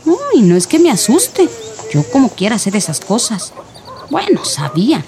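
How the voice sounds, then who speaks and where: An actress playing a gossipy, prudish village neighbour in a radio drama, chatty and insinuating, with a Mexican accent. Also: female voice, on the high side, huge pitch swings, normal speed, dark tone. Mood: scandalised gossip.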